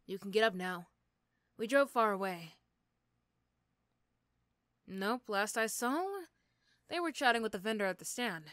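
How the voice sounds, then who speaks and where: A woman playing an irritable, sarcastic female supervillain in a roleplay audio drama, snappy and condescending but gruffly caring. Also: mid-range voice, animated, unhurried, long pauses, fairly bright tone, no room sound, clean studio sound, pitched below the performer's own voice. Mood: condescending, reassuring.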